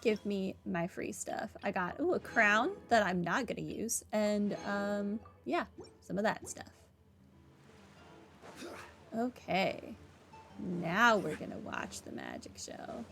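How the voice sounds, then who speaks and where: A woman's voice: mid-range voice, very animated, takes it slowly, vocal fry, fairly bright tone, soft background music. A woman giving casual gameplay commentary with wry asides. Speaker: gamer, playthrough commentator.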